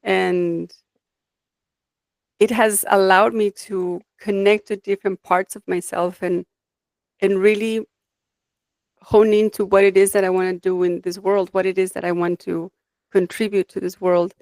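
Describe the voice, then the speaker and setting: A woman's voice: medium pitch, level tone, a little slow, creaky, pauses often, a little mellow, no room sound. Reflective woman from Mexico, dry sound. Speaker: guest, life design coach. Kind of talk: conversational interview, personal storytelling.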